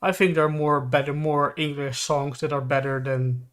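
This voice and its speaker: A man's voice: on the high side, level tone, normal speed, a bit bright. A man whose first language is Dutch, speaking English in a thoughtful way and searching for words. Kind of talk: casual opinion chat.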